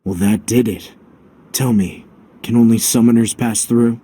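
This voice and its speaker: An actor playing a calm, frank, empathetic male companion in a video game cutscene, speaking in a measured, matter-of-fact way. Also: mid-range voice, slightly rough, soft background music.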